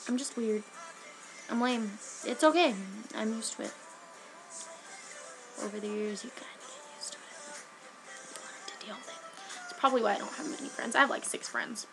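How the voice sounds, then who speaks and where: A woman's voice: fairly high pitch, moderate pace, long pauses, fairly bright tone, faint music behind, rough recording. A teenage girl rambling hyperactively in a casual home webcam vlog, sniffly from a cold and jumping between topics. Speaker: vlogger.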